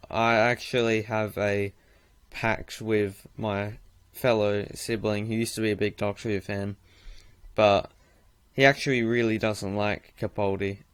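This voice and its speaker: A man hosts a casual podcast, speaking plainly and directly as he asks questions and shares his views. His voice is lowish, smooth and clear. The sound is dry, with no room echo. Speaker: podcast host. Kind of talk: casual interview chat.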